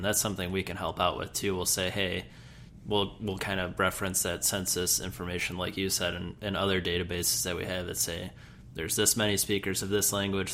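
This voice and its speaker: A man from a translation provider, calmly explaining workflow in a relaxed, casual way. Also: low-pitched, slight background noise. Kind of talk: conversational business webinar.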